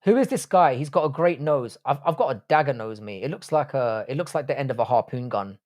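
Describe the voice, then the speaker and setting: A man's voice: medium pitch, expressive, fairly quick, darkish tone. A young man hosting a live stream, reading chat messages and answering in blunt, jokey, crude banter. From Ireland, grew up in the UK. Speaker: livestream host reading chat.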